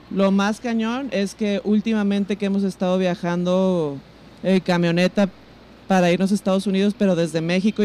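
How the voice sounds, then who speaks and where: A woman's voice: lowish voice, mellow, a little hiss or hum. A Mexican woman speaks as a guest on a podcast. She tells anecdotes in a casual, conversational way, using plenty of informal slang. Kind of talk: casual chat, telling anecdotes.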